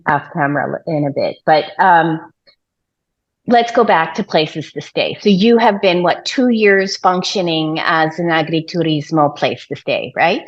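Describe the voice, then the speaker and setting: Over slightly muffled, lo-fi call audio, a middle-aged woman hosts a casual interview. Her voice sits a little low, and she is chatty and encouraging with her guests. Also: measured pace. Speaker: interviewer, host. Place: bright room at home, on a video call. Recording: dull, lo-fi video-call audio.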